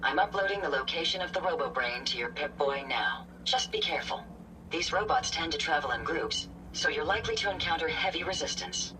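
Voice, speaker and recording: A woman playing a polite, analytical female robot companion in a video game, speaking in formal, measured, precise phrases. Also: low voice, background noise, natural voice. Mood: encouraging, cautious. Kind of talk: scripted video game dialogue. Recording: distant sound with game music behind.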